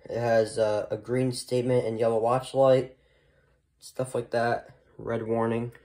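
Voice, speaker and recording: A man's voice: medium pitch, flat delivery, warm tone, slight background noise. A man giving a casual, unscripted product showcase as a hobbyist, talking in a hesitant, rambling way. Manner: hesitant, halting, rambling delivery. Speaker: hobbyist vlogger showing a weather radio collection.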